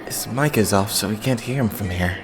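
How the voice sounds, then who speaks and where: Someone doing a calm polite religious young anime guy, measured, medium pitch. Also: a crowd in the background, close to an everyday voice. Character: calm, polite, pious young churchman idol. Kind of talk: anime fandub acting.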